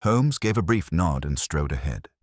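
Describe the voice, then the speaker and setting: A man reads a scripted mystery story in a measured, atmospheric, dramatic way. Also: very deep voice, big pitch swings, darkish tone, dry recording, studio quality. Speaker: audiobook narrator.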